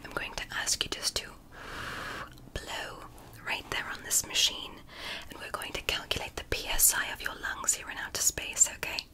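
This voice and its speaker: A woman whispering as a gentle alien woman doctor in an ASMR roleplay, softly praising and reassuring her patient. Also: low-quality recording. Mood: soothing. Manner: soft, slow, praising and reassuring.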